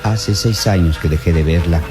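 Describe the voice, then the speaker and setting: An actor playing an elderly, idealistic professor in a radio serial, earnest and formal. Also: male voice, low-pitched, moderate pace, over music, natural voice. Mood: wistful, anguished. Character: elderly idealistic male professor, principled, longing father. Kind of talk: old radio serial acting.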